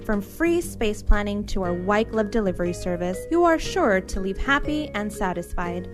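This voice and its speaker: A woman reading a scripted radio commercial as an announcer. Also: mid-range voice. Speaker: advertisement announcer. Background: music bed.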